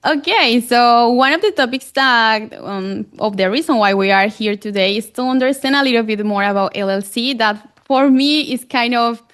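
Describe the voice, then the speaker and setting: A woman's voice: fairly high pitch, moderate pace, hardly any pauses, a bit bright, clear, resonant tone. A Colombian woman whose first language is Spanish, hosting a podcast interview in English with eager delivery, small slips and fillers. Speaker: podcast host.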